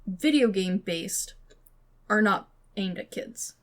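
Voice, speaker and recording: A woman's voice: mid-range voice, lively intonation, takes it slowly. A woman podcast co-host chatting casually and giving her opinions in an informal, loosely phrased way. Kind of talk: casual chat about games and movies.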